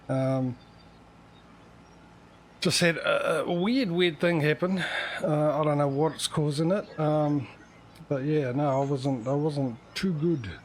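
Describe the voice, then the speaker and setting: A man's voice: on the high side, slight background noise. A man chatting casually as a livestream host, rambling and hesitant, often correcting himself as he goes.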